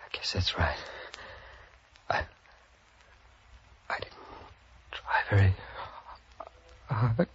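Beaten crook, low whispery voice, halting and resigned, muffled old radio drama. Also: male voice, big pitch swings, heavily acted. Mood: weak, regretful. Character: beaten small-time crook, weak and resigned man. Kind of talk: 1940s radio acting. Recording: muffled 1940s radio recording.

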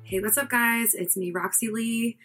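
A woman's voice: on the high side, fairly even intonation, normal speed, fairly bright tone, faint music behind. A woman in her thirties hosting her own podcast, talking candidly and casually, rambling with lots of "like" and the odd swear word. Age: 30s. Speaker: podcast host. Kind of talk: casual personal talk to camera.

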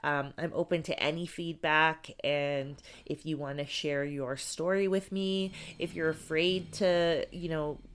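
Congested low-voiced woman podcast host. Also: fairly even intonation, measured pace, hardly any pauses, clean tone. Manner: chatty, grateful, rambling with fillers. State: congested from a cold. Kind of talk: casual solo podcast talk.

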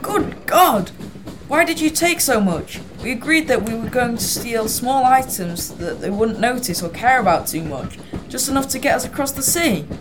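Woman playing an anxious, whiny young lad, high voice. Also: lively intonation, moderate pace, noisy background, close to an everyday voice. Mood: alarmed, scolding. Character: anxious, whiny young male accomplice to a thief. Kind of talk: amateur audio drama acting.

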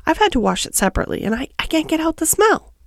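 Lady doing a fussy little hedgehog washerwoman for a kids story, chatty n deferential, high bouncy voice, super dry sound. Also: very animated, fast talker, creaky, dry recording, full character voice. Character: eager, polite, stout little hedgehog washerwoman. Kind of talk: children's storybook character voice.

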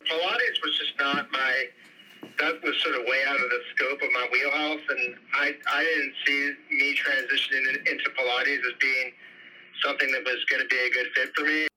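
Bright raspy man, casual and halting. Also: mid-range voice, fairly even intonation, rough recording. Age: 40s. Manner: casual, hesitant, lots of fillers. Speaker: interviewee, business owner. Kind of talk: recorded interview clips.

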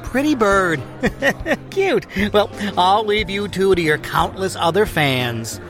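Man as smarmy male executive, unctuous drawl, high-pitched, very animated intonation, audio drama, music behind. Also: slow. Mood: needling. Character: smarmy, chuckling, leering male business magnate.

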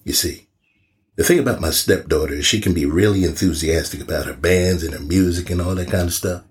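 A man's voice: sits low, brisk, close to an everyday voice. A man playing a stern, sardonic small-town police chief in an audio horror drama, talking folksy with dry menace. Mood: dry, menacing.

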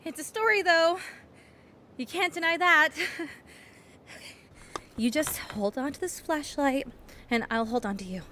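A woman's voice: high-pitched, expressive, a little slow, pauses often, bright, forceful tone, slight background noise, exaggerated voice. A woman playing a plucky, protective hiker in an audio drama, coaxing and slangy. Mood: reassuring.

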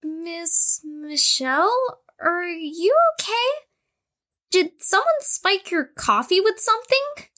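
A woman's voice: very high voice, big pitch swings, takes it slowly, bright, forceful tone, exaggerated voice. A woman playing a sensible, squeamish, polite patient in an audio drama, earnest and matter-of-fact. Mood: polite, concerned.

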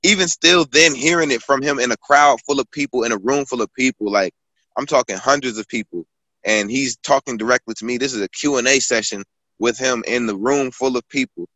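A man's voice: medium pitch, brisk, sharp tone, dry recording, low-quality recording. A man in his twenties, a guest author and poet, talking casually in a rambling storytelling way, full of fillers and restarts. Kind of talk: casual conversation.

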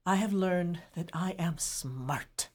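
A woman's voice: lowish voice, slow. A woman playing a wounded yet resolute incarcerated woman in an audio play. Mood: confessional.

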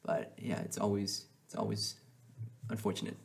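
A man's voice: fairly low pitch, steady tone, normal speed, creaky, breathy edge. A young man hosting a school podcast, speaking hesitantly with frequent restarts in casual conversation. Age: teens. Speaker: student podcast host.

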